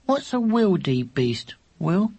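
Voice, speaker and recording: A narrator voicing a silly, chatty little boy who asks endless questions in a slow, innocent, drawn-out way in a children's story. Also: medium pitch, very animated, dark tone, breathy edge, pitched above the performer's own voice, exaggerated voice. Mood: innocent, curious.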